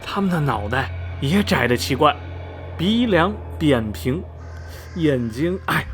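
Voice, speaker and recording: A gossipy middle-aged townsman in a radio drama, acted by a man. His voice sits fairly high and swoops expressively through sighing exclamations. He is skeptical yet repelled, stretching phrases for effect. Faint music plays behind him. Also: expressive, slow, a bit bright. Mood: disgusted, ominous. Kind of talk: radio drama acting, animated storytelling.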